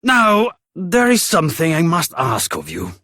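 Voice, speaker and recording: A man's voice: on the high side, wildly swooping pitch, unhurried, sharp tone, no room sound, studio quality, exaggerated voice. A man playing a pompous, exuberant king in a fantasy video game, with grandiose theatrical delivery. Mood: courtly, earnest.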